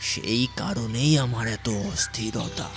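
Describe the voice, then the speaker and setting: An actor playing a grave, troubled elderly landlord in a horror audio drama, speaking slowly and ominously. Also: male voice, mid-range voice, over music. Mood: grave foreboding. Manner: slow, brooding, ominous delivery with repeated phrases.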